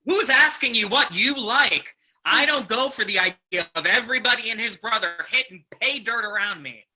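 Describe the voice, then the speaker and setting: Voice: medium pitch, normal speed, bright, forceful tone, breathy edge, rough recording. An actor playing a ruthless, greedy male gold-rush crime boss in an old-time radio drama, snapping and domineering. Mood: angry, domineering. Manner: hard-boiled, snapping, domineering.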